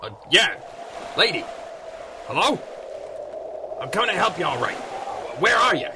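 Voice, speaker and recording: Highish-voiced gangster henchman. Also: sing-song, measured pace, noisy background. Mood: nervous. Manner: slangy, ungrammatical tough-guy talk. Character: nervous, dim, obliging 1930s gangster henchman. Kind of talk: 1930s-style radio drama acting.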